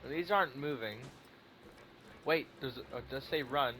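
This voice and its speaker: A man's voice: medium pitch, moderate pace, a bit bright, a little hiss or hum, poor audio. A man giving casual gaming commentary, thinking aloud and muttering to himself with some swearing. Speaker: video game streamer. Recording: music behind the voice. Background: game music.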